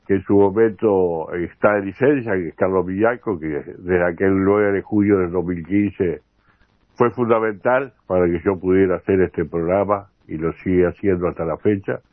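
An older man with a Uruguayan accent hosting a radio interview programme, with a halting delivery that often repeats words. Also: lowish voice. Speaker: radio host. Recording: tinny phone-like radio sound, roomy echo.

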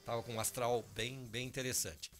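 A hoarse man, hosting a morning radio show in easygoing chat at a studio microphone. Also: medium pitch, flat delivery, normal speed, a bit bright, faint music behind. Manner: chatty, easygoing, talks over cohost.

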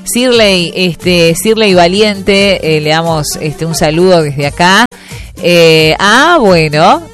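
A woman's voice: fairly low pitch, animated, takes it slowly, soft background music. Chatty Uruguayan woman radio host. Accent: Uruguayan Spanish. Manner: chatty, many fillers and restarts. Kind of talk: casual radio chat reading listener messages.

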